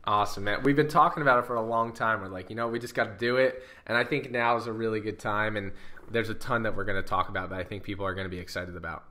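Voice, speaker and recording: A man's voice: lowish voice, steady tone, quick pace, sharp tone. A man hosting a podcast, introducing his guest and asking questions in an enthusiastic, friendly way, often saying "like". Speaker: podcast host. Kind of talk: casual podcast interview.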